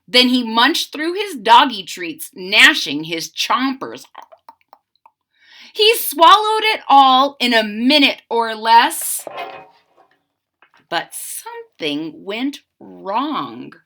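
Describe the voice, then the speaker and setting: A woman reading a picture book aloud in a theatrical, drawn-out way, coaxing young listeners with questions and explanations. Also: on the high side. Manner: animated, theatrical, drawn-out emphasis; coaxing, explaining asides to children. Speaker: children's story reader and channel host.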